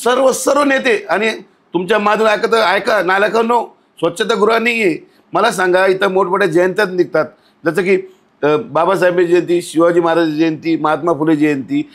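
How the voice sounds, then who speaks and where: A man's voice: sits high, moderate pace, fairly bright tone. A man talking as a podcast guest in a blunt, indignant, scolding way, with many rhetorical questions. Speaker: outspoken podcast guest commenting on local civic issues. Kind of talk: conversational podcast interview.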